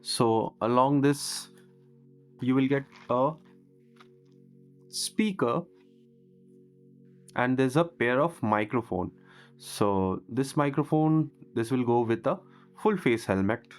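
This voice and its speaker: Guy doing a casual unboxing vlog voiceover, medium pitch, bit of music in the back. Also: slow, pauses often. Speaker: product reviewer, vlogger.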